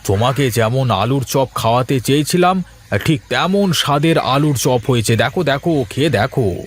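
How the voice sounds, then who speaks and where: A man playing a simple, good-natured village pumpkin seller in a cartoon, with folksy drawn-out exclamations. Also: medium pitch, animated, slight background noise, close to an everyday voice. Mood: delighted. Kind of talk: cartoon moral-story voice acting.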